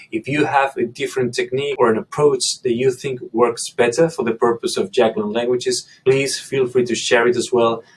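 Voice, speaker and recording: Brief: male host, Spanish native, husky mid voice, methodical explainer. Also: mid-range voice, low-quality recording. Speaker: language learning video host. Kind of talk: instructional monologue to camera.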